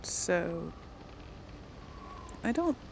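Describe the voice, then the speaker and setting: A woman's voice: fairly low pitch, sing-song, very slow, creaky, darkish tone, a little hiss or hum, low-quality recording. A woman doing casual let's play commentary, thinking aloud in an informal, hesitant way. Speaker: gamer, let's play host.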